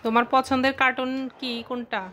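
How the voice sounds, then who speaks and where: A woman's voice: sits high, little pitch movement, fairly quick, background noise. A woman filming a casual home video, asking her young son questions in a coaxing, curious way. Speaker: parent filming her child.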